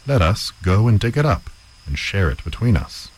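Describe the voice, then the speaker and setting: A man's voice: on the low side, sing-song, moderate pace, slightly rough, poor audio. A man voicing a jealous soldier brother who speaks with false friendliness. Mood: falsely sweet.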